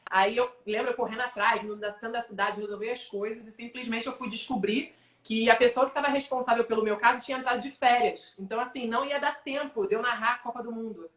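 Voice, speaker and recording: A young adult Brazilian woman, a sports announcer, telling animated stories in a casual live-stream interview. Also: fairly high pitch, echoey room. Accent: Brazilian Portuguese. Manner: animated storyteller, quick asides. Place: home, online video call.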